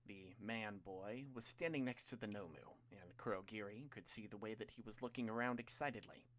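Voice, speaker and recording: A man with a fairly low voice reads fiction aloud. He narrates scripted prose in the role of an audiobook reader. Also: fairly quick, a bit bright.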